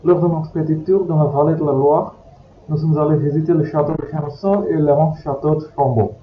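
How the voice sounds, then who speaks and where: A man's voice: on the high side, steady tone, brisk, slight background noise. A man teaching a language by reading short passages aloud, then repeating them word by word. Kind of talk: slow read-aloud lesson for learners. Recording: echoey, dull lo-fi recording.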